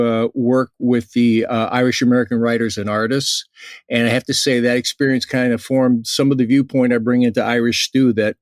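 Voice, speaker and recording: A man hosting a podcast interview, thinking aloud in a halting way with many fillers. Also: mid-range voice, no room sound. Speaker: podcast host. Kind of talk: conversational interview.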